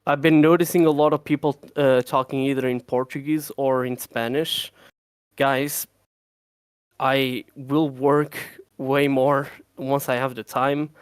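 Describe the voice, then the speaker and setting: A man co-hosting a casual livestream Q&A for his game, talking in an excitable rush, repeating words and laughing often. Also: medium pitch, a little slow, clean tone, no echo. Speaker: game developer co-host.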